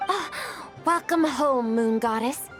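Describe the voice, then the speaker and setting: A polite video game stallkeeper. She speaks slowly in a highish voice, welcoming but mildly hesitant. There is faint music in the background. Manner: courteous, tentative.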